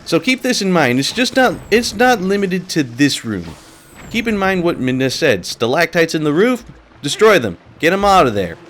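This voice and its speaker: A man's voice: fairly high pitch, sing-song, moderate pace, a bit bright, a little hiss or hum. A man hosting a let's play, talking casually and rambling while sick and a little nasal. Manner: casual, rambling, laughs often. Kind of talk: gameplay commentary.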